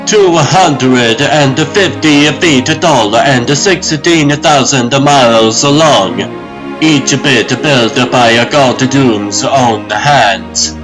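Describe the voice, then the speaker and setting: A proud, fatalistic baron condemned to die , played by a man in a comic dub. His delivery is slow, solemn and defiant, set over prominent music. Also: mid-range voice, low-quality recording. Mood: solemn, bitter. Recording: loud dramatic music behind.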